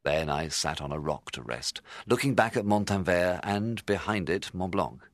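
A man playing a horrified, remorseful scientist telling his tale in a dramatised audiobook, with intense, expressive delivery. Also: sits low, moderate pace, dry recording, clean studio sound. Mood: reflective, tense. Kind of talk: dramatised literary audiobook narration.

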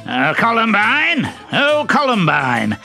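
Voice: huge pitch swings, slow pace, vocal fry, pushed and bright, soft background music, heavily acted. A storyteller voicing Harlequin, a cheeky servant putting on a silly, giggling lady's voice. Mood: giggly. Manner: giggly, falsetto, stammering.

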